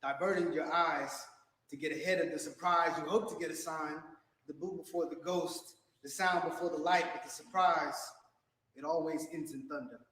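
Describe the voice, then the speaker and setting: A man performing spoken word poetry on stage in a hall, with dramatic, measured phrasing. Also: fairly high pitch, fairly even intonation, pushed and bright. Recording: echoey hall, distant from the mic.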